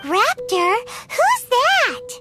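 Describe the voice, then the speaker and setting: A woman's voice: huge pitch swings, extremely slow, exaggerated voice. A woman voicing a tiny, cheeky, childlike fairy girl companion in an anime-style video game. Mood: startled, puzzled. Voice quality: squeaky, childlike. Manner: cheeky, speaks of herself in third person. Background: music.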